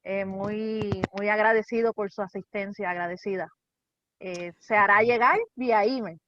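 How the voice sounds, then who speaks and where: A woman's voice: medium pitch, fairly even intonation, moderate pace, no echo. A woman with a Puerto Rican accent, moderating a club meeting on a video call, giving formal, courteous thanks. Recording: dry dull call audio.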